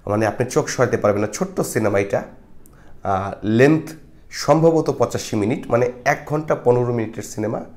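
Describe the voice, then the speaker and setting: A man's voice: medium pitch, darkish tone. Middle-aged male film reviewer, reflective and moralizing, to camera on a clip-on mic. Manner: reflective, moralizing, frequent hesitations. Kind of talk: casual film review monologue. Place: small indoor studio with patterned backdrop. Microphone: lapel mic.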